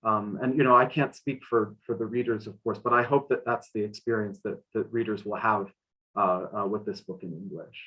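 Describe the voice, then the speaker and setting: A man speaking as a literary translator on a discussion panel, thoughtfully, often halting and repeating a phrase as he goes. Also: on the low side, fairly even intonation, husky, highs cut off. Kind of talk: panel discussion, interpreting and answering questions.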